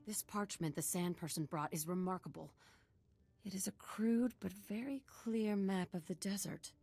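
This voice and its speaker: An actress playing a dutiful, cautious desert scout in a video game, formal and matter-of-fact. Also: female voice, medium pitch, normal speed, creaky, a little mellow, breathy edge, dry recording, faint music behind. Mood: wary, intrigued. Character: dutiful, cautious female desert scout serving a Sith lord. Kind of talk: video game dialogue acting.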